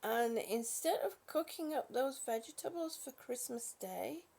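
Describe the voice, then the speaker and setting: A British woman hosting a casual live chat from her bed, greeting viewers warmly as honey and sweetie and sometimes dropping to a soft whisper. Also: a little high, lively intonation, creaky. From British, born in Singapore. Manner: slow, soft, trailing off into whispers. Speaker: livestream host chatting with viewers. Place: at home in bed.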